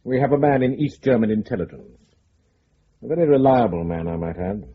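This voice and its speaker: An actor playing a stern, suspicious senior British intelligence chief in a radio drama, speaking in a formal, clipped, authoritative way. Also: male voice, medium pitch, animated, fairly quick, husky, lo-fi sound. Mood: insistent.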